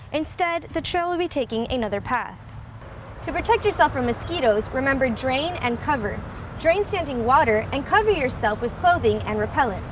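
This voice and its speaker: A woman delivers a scripted news report in a high voice. Her enunciation is crisp and broadcast-ready, and some noise sits in the recording.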